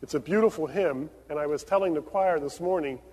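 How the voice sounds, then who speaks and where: Man, pastor, from Venezuela, halting announcements, long church echo. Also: on the high side, warm tone. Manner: hesitant, many fillers in announcements. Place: church sanctuary. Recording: long reverb of a church sanctuary.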